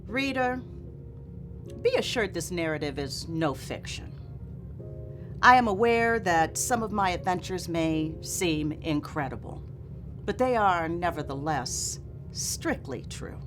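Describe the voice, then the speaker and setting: A woman's voice: lowish voice, animated, slow. A woman reading as an earnest, dignified nineteenth-century memoirist who was once enslaved, in a formal, solemn and emphatic manner. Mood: solemn, assuring. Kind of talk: dramatic reading of a memoir preface. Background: music.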